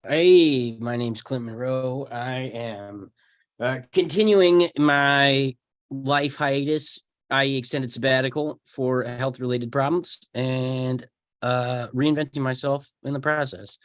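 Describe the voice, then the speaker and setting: Halting, jokey man, dry sound. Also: medium pitch, steady tone, no room sound. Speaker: actor. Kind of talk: casual self-introduction. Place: indoors, on a video call.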